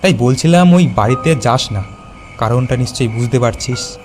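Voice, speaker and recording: A man playing a worried, cautious young village schoolteacher in an animated horror story. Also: mid-range voice, normal speed, faint music behind, natural voice. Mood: worried, warning. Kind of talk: acted cartoon dialogue.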